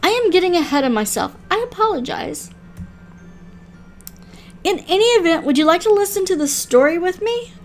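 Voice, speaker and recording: A woman's voice: extremely high pitch, very animated, normal speed, long pauses, faint music behind, pitched above the performer's own voice, exaggerated voice. A woman voicing a mysterious, courteous female keeper of an otherworldly library in a visual novel, with formal, wistful phrasing. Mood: wistful, earnest. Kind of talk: visual novel character read aloud.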